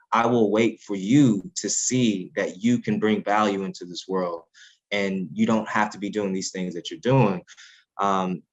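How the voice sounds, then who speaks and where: Guest voice: deep-toned man, reflective and earnest, unhurried with lots of ums. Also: dull audio. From United States, Haitian family. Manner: measured, earnest, many fillers and restarts. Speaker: podcast guest, author. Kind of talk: reflective interview talk.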